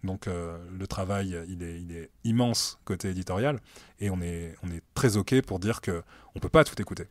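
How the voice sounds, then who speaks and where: A man in his late thirties, a music director being interviewed, talks in a relaxed, thoughtful way with many hesitations in an office meeting room. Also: sits low, moderate pace, no room sound. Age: late 30s. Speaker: music director at a streaming platform, guest. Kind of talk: casual conversational interview.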